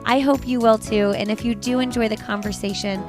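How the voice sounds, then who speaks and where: A woman's voice: a little high, steady tone, brisk. An American woman hosting a parenting podcast, reading ads and interviewing in an upbeat, enthusiastic way. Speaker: podcast host. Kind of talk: host intro, sponsor read and interview. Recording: music playing behind the voice.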